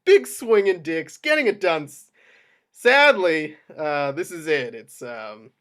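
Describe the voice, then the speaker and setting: A man's voice: a little high, animated, slow, a bit bright. A man playing a self-deprecating, jittery mob attorney in his forties, half drunk and rambling, at a script table read. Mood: rueful, confessional. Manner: rambling, stammering. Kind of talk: amateur screenplay table read.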